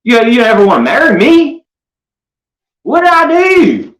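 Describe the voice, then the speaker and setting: A man putting on a shrill, panicky voice of another man who yells in drawn-out, exaggerated outrage. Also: wildly swooping pitch, roomy sound, heavily acted. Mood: outraged.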